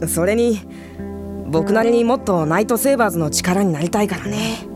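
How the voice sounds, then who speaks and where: An actress playing an earnest, cheeky teenage younger brother in an anime audio drama, boyish and casual. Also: female voice, medium pitch, moderate pace, over music, close to an everyday voice.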